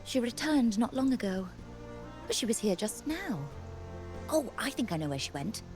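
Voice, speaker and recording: Game side role: young talkative priestess, very animated, expressive, music underneath. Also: female voice, on the high side, moderate pace. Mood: intrigued, gossipy. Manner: expressive, chatty. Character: chatty, gossipy young novice priestess. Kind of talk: video game voice acting.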